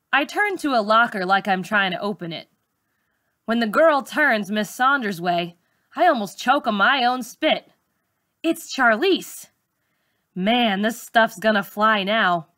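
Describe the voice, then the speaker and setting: Bright-voiced woman reading a story aloud, unhurried. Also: medium pitch, no echo, clean studio sound. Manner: colloquial, storytelling. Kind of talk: expressive first-person audiobook narration for schoolchildren.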